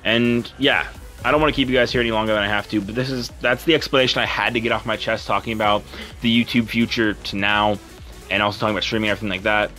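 A young man with a low, deep voice and a bright, forward edge to his tone. He talks in a rambling, earnest, apologetic way over gameplay, like a creator speaking straight to his viewers. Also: steady tone, fast, soft background music. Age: young adult. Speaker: YouTuber and streamer.

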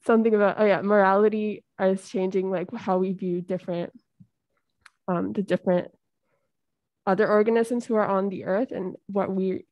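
A woman's voice: medium pitch, steady tone, normal speed, no echo, muffled recording. A young woman speaking in an online class discussion, explaining steadily with some fillers. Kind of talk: casual discussion. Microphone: video call.

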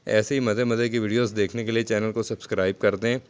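A man's voice: on the low side, little pitch movement, fast talker, smooth. A man giving a casual, explanatory gardening vlog narration in a conversational, repetitive way. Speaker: home gardening video host.

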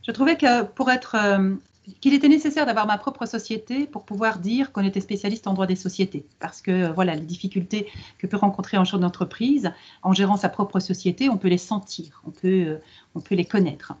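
Middle-aged female lawyer as interview guest, slightly rough-edged husky voice, measured teaching delivery, muffled video-call sound. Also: mid-range voice, darkish tone. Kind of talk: explanatory interview answer on a video conference. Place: book-lined office, video call. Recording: dull video-call audio.

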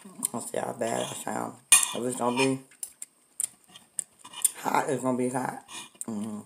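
A middle-aged woman with a hoarse voice from a cold, chatting to her viewers while eating breakfast in her home kitchen. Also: very deep voice, unhurried, very noisy. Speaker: food vlogger. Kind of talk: casual eating vlog chat.

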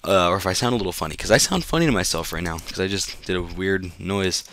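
A young man with a deep, low voice that sounds a bit sick and off today. He rambles casually over his gameplay as a channel host, correcting himself as he goes. The talk is easygoing and unscripted. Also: fast talker, a bit bright.

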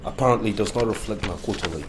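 An actor playing a frustrated young husband and son who pleads and breaks into angry outbursts. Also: male voice, on the low side, racing, background noise, close to an everyday voice. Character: frustrated young family man. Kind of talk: film drama acting.

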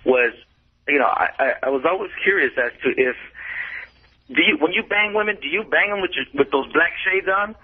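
A man chats casually as a radio caller. His delivery is halting and comes through a narrow, tinny phone line. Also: on the high side, animated, husky. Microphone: telephone.

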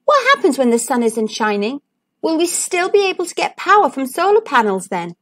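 A woman voicing a curious, excitable young boy in a children's storybook, full of wonder. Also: sits high, fairly quick. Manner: wondering, exclaiming. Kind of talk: children's storybook read-aloud.

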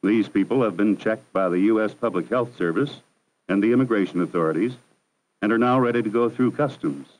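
A man narrating a 1960s educational film in a measured, formal, instructive manner. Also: on the low side, poor audio. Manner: measured, formal, didactic delivery. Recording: 1960s archival film soundtrack.

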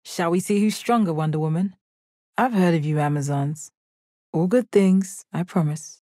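An actress plays a calm alien warrior woman for a fighting video game. The voice sits fairly low and speaks in a measured, formal, deadpan way. She sounds confident and kind beneath the stoicism, recorded very dry with no room sound. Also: dry recording, natural voice. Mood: assured. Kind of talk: video game character voice lines.

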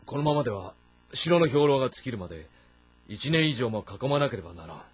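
A husky middle-aged warlord of ancient China, shrewd and commanding. His delivery is brooding and measured yet swings widely in pitch, over background music in a historical game drama. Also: very animated. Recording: music behind the voice.

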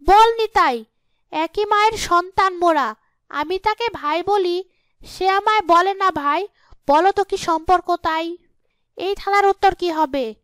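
A woman's voice: very high voice, measured pace, long pauses, a bit bright, dry recording, voice pushed up. A woman voicing a bossy, threatening female ghost in a cartoon fairy tale, scolding and giving orders. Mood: commanding. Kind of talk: Bengali cartoon fairy-tale character acting.

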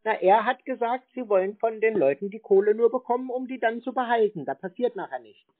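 A man's voice: high-pitched, brisk, no room sound. A man in an argumentative phone conversation, stubbornly needling and repeating himself as he baits the caller. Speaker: prank-calling scam baiter. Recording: narrow phone-like sound.

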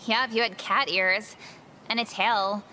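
A woman's voice: medium pitch, fairly even intonation, a little slow, bright, forceful tone, a little hiss or hum, poor audio. A woman playing a dry, unimpressed teenage girl in a visual novel, sarcastic and matter-of-fact. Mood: wry.

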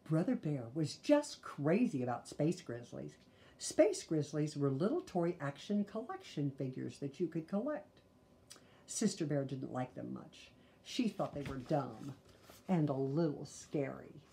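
A woman reads a children's storybook aloud, deliberate and expressive, with chatty asides. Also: low voice, a little hiss or hum. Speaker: storybook reader. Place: small living room, indoors.

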